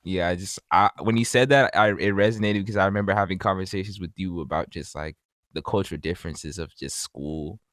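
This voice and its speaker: Deep-voiced male podcast host, dry sound. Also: fairly quick, no echo. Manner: relaxed, conversational, many fillers and restarts. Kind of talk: casual interview chat.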